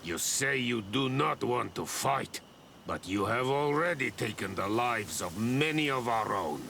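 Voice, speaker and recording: A man playing a gruff, distrustful guard of a fantasy clan in a video game. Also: mid-range voice, expressive, measured pace, sharp tone, slight background noise, full character voice. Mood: stern, suspicious.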